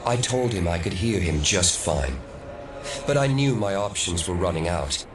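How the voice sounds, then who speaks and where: An actor playing a haunted, defiant man recounting his past in deliberate, dramatic audio drama narration. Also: deep voice, expressive. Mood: grim recollection. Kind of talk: dramatic first-person storytelling in audio drama. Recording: distant mic, clear background noise.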